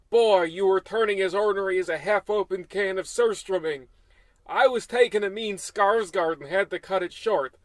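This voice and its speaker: A genial Finnish gas station attendant, played by a man in an old-style radio comedy. The voice is high and closely recorded, rambling along in a folksy, chatty way. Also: no room sound, close to an everyday voice. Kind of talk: scripted old-time radio comedy acting.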